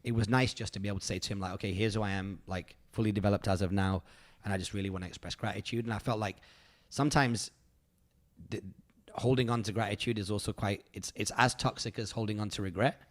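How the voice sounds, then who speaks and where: Man in his thirties, low-pitched, earnest, casual chat, rambling, grateful tone. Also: steady tone, quick pace. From United Kingdom. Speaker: podcast host.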